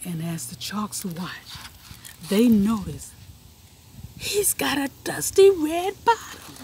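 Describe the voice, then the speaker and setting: A woman narrates a children's picture book aloud. Her reading is slow and deliberately emphasized, with a very animated melody. Faint ambient noise sits in the background. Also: on the high side. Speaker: storybook reader.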